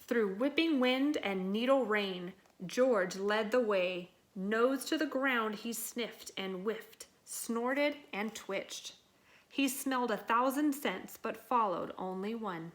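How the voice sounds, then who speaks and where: A woman reading a children's picture book aloud in an expressive, dramatic and deliberate way. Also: a little high. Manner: dramatic, deliberate delivery with acted sound words. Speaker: storybook reader.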